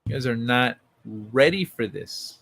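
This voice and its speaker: A man hosting a livestream in an informal, excitable, sweary way, talking casually and reading things aloud. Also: mid-range voice, unhurried. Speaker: livestream host.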